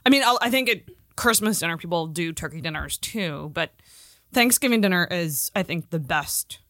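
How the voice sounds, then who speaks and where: A woman's voice: fairly low pitch, expressive, moderate pace, fairly bright tone, dry recording, clean studio sound. A woman co-hosting a podcast, chatting casually with playful slang and plenty of swearing. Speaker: podcast co-host. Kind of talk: casual chat.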